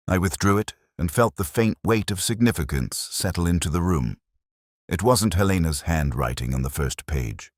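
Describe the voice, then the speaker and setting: A man narrating a detective story in the first person, in a measured, literary and brooding manner. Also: low voice, no room sound, clean studio sound.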